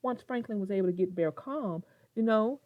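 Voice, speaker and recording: A woman's voice: mid-range voice, normal speed, dry recording. A woman narrating and hosting a children's read-aloud, telling and discussing the story expressively. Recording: dull lo-fi sound, soft guitar music.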